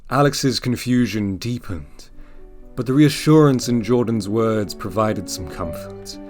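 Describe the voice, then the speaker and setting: A man's voice: lowish voice, unhurried, a little mellow. A man narrating an audio drama in a scripted, dramatic storytelling style. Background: music.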